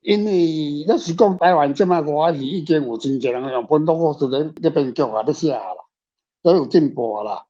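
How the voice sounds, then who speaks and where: Older male author guest, mellow and husky, halting informal talk, slightly dull recording. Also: a little high. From Taiwan. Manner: repetitive, halting phrasing. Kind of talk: informal discussion. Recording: slightly muffled, faint guitar music behind. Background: faint guitar music.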